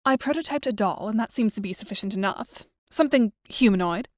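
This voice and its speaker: A woman's voice: mid-range voice, lively intonation, natural voice. A woman voicing a composed, wry, articulate teenage girl in an audio drama, with formal, dry delivery. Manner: formal, dry, measured wording. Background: music.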